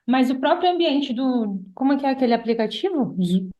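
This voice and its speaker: A Brazilian woman around thirty with a fairly high voice, recorded in a roomy, echoing space. She tells her own story conversationally, as a podcast guest. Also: lively intonation, a little fast, mellow, highs cut off. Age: about 30. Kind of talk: casual personal storytelling.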